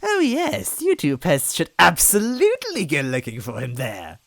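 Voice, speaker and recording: A woman's voice: on the low side, wildly swooping pitch, a little slow, gravelly, no echo, studio quality, voice pushed up, exaggerated voice. A woman voicing a hideous, gleefully cruel bean witch in a storybook reading, taunting with a theatrical sneer. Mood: gleeful mockery.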